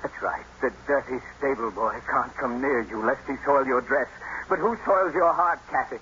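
An actor playing a brooding, tormented young stable hand in love, with intense, theatrical delivery in the style of a 1950s radio drama. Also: male voice, medium pitch, moderate pace, pushed and bright, close to an everyday voice. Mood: bitter, accusing. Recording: noisy, muffled vintage radio broadcast.

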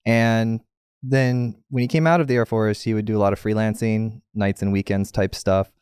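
Man, thirties, slightly low pitch, clear smooth tone, casual storytelling, dry close recording. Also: fairly even intonation, normal speed, a little mellow, no echo. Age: 30s. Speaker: podcast guest, tech entrepreneur.

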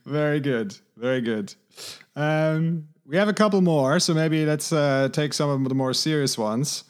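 A middle-aged man hosting a podcast, asking questions in a friendly, halting way full of fillers. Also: fairly high pitch. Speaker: podcast host. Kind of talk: interview questions.

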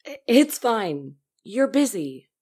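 A woman playing a neglected but forgiving partner in an audio drama, speaking in a halting, understated way. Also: medium pitch, sing-song, a little mellow, husky, full character voice. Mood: hurt, resigned. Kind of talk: naturalistic audio drama dialogue.

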